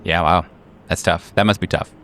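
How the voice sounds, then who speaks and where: A man narrating an improvised tabletop game as game master, in a conversational, halting way with repeated words. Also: sits low, steady tone, fairly bright tone, a little hiss or hum.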